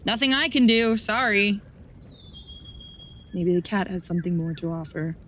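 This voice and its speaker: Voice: medium pitch, expressive, normal speed, fairly bright tone, a little hiss or hum, close to an everyday voice. A performer playing a wry, lonely traveler in a naturalistic audio drama, casually and dryly muttering to themselves. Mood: deflated.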